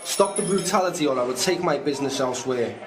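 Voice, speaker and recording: A man's voice: a little high, lively intonation, quick pace, natural voice. A man playing a formal, conscientious 17th-century English builder and slave owner who is troubled, in a radio drama with reflective narration. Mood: firm. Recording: music bed behind the voice.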